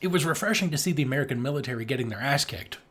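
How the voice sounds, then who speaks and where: A man's voice: medium pitch, lively intonation, very fast, a bit bright. A man co-hosting a podcast, chatting casually about film in a rambling, jokey, sweary way. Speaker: podcast co-host.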